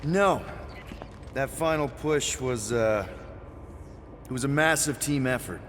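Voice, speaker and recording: An actor playing an easygoing, wisecracking young male space adventurer in a video game, with an American accent and a casual, halting delivery. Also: medium pitch, fairly bright tone, in a large hall, slight background noise. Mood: hesitant, guarded. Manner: casual, hesitant, joking. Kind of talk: naturalistic video game voice acting.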